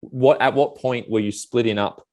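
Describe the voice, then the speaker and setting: A man's voice: medium pitch, steady tone, normal speed, a little mellow. A man asking questions in a casual, conversational way as an interviewer.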